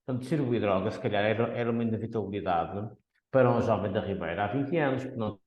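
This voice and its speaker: Man, Porto accent, economist guest, measured and explanatory, roomy echoey sound. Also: lowish voice, highs cut off. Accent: Portuguese, Porto. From Porto, Portugal. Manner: measured, explanatory, frequent restarts. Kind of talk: podcast interview.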